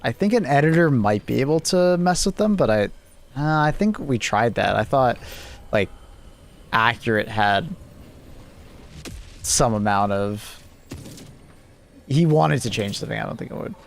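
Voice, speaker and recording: Young guy streaming games, rambly and chill, mid pitch, lots of "like". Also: expressive, measured pace, creaky, pauses often. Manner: rambling, full of "like" and restarts. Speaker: livestream host. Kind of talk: casual chat while gaming.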